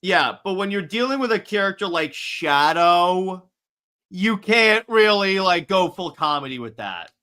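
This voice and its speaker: A man's voice: sits high, expressive, measured pace, sharp tone, clear, resonant tone. A man co-hosting a podcast, chatting casually about a movie and often chiming in to agree. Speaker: podcast co-host.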